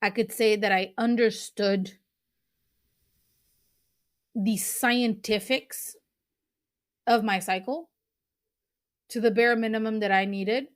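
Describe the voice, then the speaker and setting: Slow, earnest woman. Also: on the high side, steady tone, dry recording, studio quality. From Portugal. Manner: slow, earnest, with fillers and restarts. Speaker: podcast host. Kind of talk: casual podcast talk recommending books.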